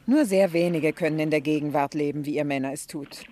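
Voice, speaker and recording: A woman's voice: low-pitched, brisk, dark tone, slight background noise, lightly acted. An actress playing a worldly, shrewd, teasing lady friend with a wry, knowing manner in a German radio drama. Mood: wry, sceptical.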